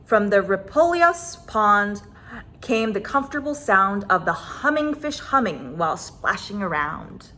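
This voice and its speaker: Lady doing kids story time, super bright voice, really sing-song up and down, dramatic stretched out words. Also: mid-range voice, very animated, a little hiss or hum. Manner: dramatic, deliberate, drawn-out emphasis on words. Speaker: storyteller reading a children's book aloud. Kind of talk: expressive children's story time reading.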